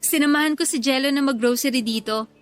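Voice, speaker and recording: An actress plays a coy young woman in love, a little defensive. Her voice is high, and music plays softly behind the radio drama dialogue. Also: quick pace, close to an everyday voice. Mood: coy, friendly.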